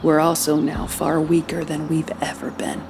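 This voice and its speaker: Music plays under a resolute, caring woman leader in an audio drama. Her voice sits a little low, and she speaks earnestly and frankly, with tenderness. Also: monotone, normal speed, gravelly. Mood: grave, resolute. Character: caring, determined woman leader of scattered immortals. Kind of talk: naturalistic audio drama acting.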